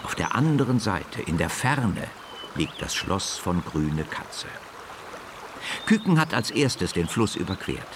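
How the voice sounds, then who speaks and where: A man's voice: deep voice, very animated, a little slow, noisy background. A man narrating a scripted children's story as the narrator of a radio play.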